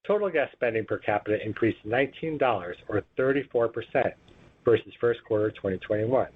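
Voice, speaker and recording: A man's voice: lowish voice, animated, breathy edge. A man reading a scripted financial results presentation as a company executive, in a formal, businesslike manner. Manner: formal, businesslike, measured recitation of figures. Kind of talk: scripted earnings call presentation, read aloud. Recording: tinny, muffled phone conference line.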